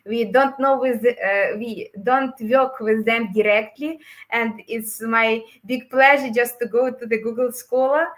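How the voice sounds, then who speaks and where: A woman's voice: fairly high pitch, steady tone, unhurried, rough recording. Woman presenting. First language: Ukrainian and Russian. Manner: hesitant, frequent fillers and restarts. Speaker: course creator presenting a webinar. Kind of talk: webinar talk.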